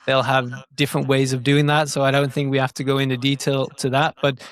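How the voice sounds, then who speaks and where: A man's voice: medium pitch, flat delivery, quick pace, clear, resonant tone. A man explaining things in casual interview talk, repeating words as he thinks them through. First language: Norwegian is not native; speaks it brokenly. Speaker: digital growth marketer, podcast guest.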